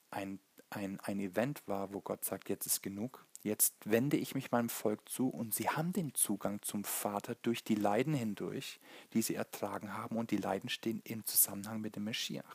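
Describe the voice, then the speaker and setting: A man from Germany, preaching in an earnest, halting way as he searches for words. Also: on the low side, creaky, darkish tone, husky, no room sound. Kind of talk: sermon, Bible teaching.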